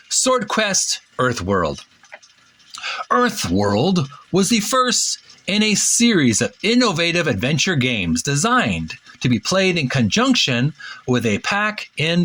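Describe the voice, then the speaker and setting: Male retro gaming host, slightly high and husky, casual but halting, stumbles while reading and commenting. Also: fairly bright tone. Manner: halting, deliberate, stumbling delivery. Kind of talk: casual read-aloud commentary.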